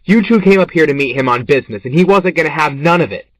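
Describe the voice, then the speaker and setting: Man playing high-voiced, frantic kitchen worker. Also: lively intonation, fast talker, no echo, poor audio. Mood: accusing. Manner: pleading, accusatory, agitated. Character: frantic, defensive male kitchen worker under suspicion. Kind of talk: audio drama acting.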